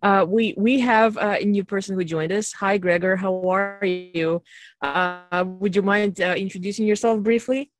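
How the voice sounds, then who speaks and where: A podcast host moderating an online group hangout, chatting casually in a wordy, halting way. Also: mid-range voice, fairly even intonation, faint music behind. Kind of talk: casual chat with hesitations. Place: online video call.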